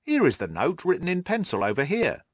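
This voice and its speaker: A man voicing an amused, self-assured gentleman detective in an audiobook, with a teasing, theatrical drawl. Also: on the high side, wildly swooping pitch, fairly quick, breathy edge, no echo, voice pushed up, full character voice. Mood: calm, teasing. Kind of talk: audiobook character acting.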